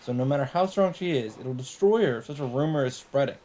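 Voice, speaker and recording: A man hosting a let's play, reading visual novel narration aloud in a measured, dramatic way with asides. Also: medium pitch, lively intonation, darkish tone, slight background noise, rough recording. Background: guitar game music.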